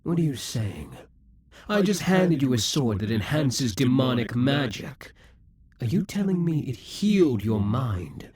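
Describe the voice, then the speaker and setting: A possessive demon king who schemes and broods, with a man doing the voice. He speaks to the listener slowly, in a husky, breathy tone. His pitch swings widely as he monologues for an audio roleplay. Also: big pitch swings, darkish tone. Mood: exasperated.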